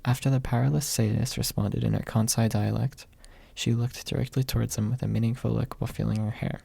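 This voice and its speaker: A young man with a soft, husky voice, reading quietly in an ASMR audiobook style, close to the microphone. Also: medium pitch, level tone, a little fast, no echo. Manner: soft-spoken, hushed late at night. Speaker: amateur audiobook reader. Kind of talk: ASMR, quiet storybook narration. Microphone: close USB microphone without pop filter.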